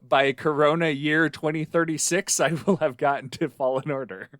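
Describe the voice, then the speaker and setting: A middle-aged man co-hosting a podcast, chatting casually in a halting, rambling way. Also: on the high side, steady tone, a bit bright, no echo, studio quality. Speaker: podcast co-host.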